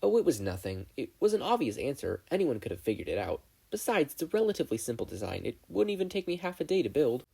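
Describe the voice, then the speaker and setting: Teen boy inventor, acted by a narrator: flustered, eager, swooping pitch, husky edge. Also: very animated, fast talker, hardly any pauses, dark tone, exaggerated voice, rough recording. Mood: bashful. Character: nervous, earnest, self-deprecating teenage boy inventor. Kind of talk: fan fiction audiobook character voice.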